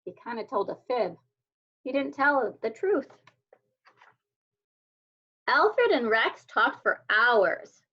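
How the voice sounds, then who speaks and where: A woman reading a children's picture book aloud as a storyteller, with expressive, deliberate delivery. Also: a little high, breathy edge. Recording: dull lo-fi sound.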